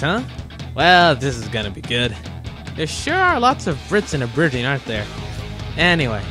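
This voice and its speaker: A man giving casual, wry review commentary in a conversational way, with rhetorical asides. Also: mid-range voice. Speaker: host of a countdown review video. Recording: music behind the voice. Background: guitar music.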